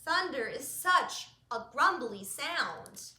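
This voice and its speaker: A woman's voice: high voice, sing-song, slow pace, sharp tone, reverberant, full character voice. A woman voicing a kind little truck in a picture book, beeping and welcoming friends.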